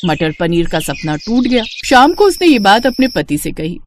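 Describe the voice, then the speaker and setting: A woman narrating a scripted moral story as an expressive storyteller. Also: medium pitch, moderate pace, slight background noise.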